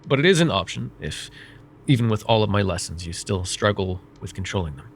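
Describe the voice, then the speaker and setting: A man voicing a vain, wry, middle-aged male mage who counsels a student in an ASMR roleplay, speaking in a calm, measured and gently teasing way. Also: fairly low pitch, creaky, slight background noise. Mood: calm reassuring. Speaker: voice actor.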